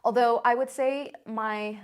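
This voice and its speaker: A woman's voice: on the high side, fairly even intonation, unhurried, clean tone. A woman in her twenties with an American accent, talking casually to camera in a chatty, upbeat way with frequent "like" and "um" fillers. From China. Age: 20s. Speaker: content creator. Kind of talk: casual vlog talk to camera.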